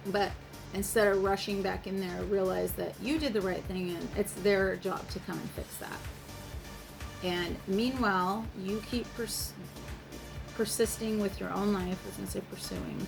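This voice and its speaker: A woman's voice: medium pitch, gravelly. A woman tarot reader speaking directly to the listener in a casual, reassuring advice monologue, swearing freely.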